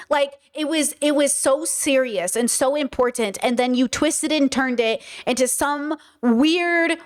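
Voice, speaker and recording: A woman with a Californian accent hosting a commentary video, talking emphatically to her viewers and often repeating words as she thinks. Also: high-pitched. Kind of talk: opinionated commentary monologue.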